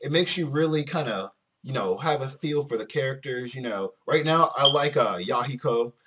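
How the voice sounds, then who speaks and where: A man's voice: medium pitch, animated, moderate pace, noisy background. A man giving casual let's play commentary, rambling and repeating himself as he talks to his viewers. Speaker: video game streamer.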